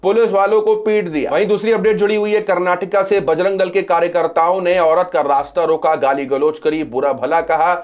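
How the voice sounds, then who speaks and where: A man with a somewhat high voice delivers news commentary as a presenter. He moves through updates, restating key phrases to drive them home. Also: steady tone, brisk, few pauses. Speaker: social media news commentator. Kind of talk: news commentary sharing updates to camera or over screen.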